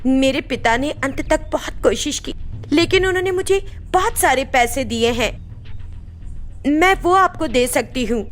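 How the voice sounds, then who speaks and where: A woman's voice: high voice, animated, measured pace. A woman playing a meek, anxious young daughter-in-law with an apologetic, respectful manner.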